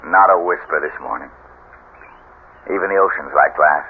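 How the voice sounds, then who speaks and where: An actor playing a protective, coaxing husband in a radio drama, soothing but insistent. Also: male voice, very deep voice, moderate pace. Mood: reassuring. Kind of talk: 1970s radio drama acting. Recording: tinny, noisy old radio recording.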